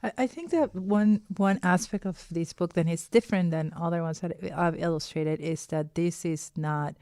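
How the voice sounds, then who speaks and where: Smooth, low Peruvian-accented woman. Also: fairly even intonation, moderate pace, darkish tone, no echo, clean studio sound. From Peru. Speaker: illustrator and interview guest. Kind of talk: casual explanatory conversation.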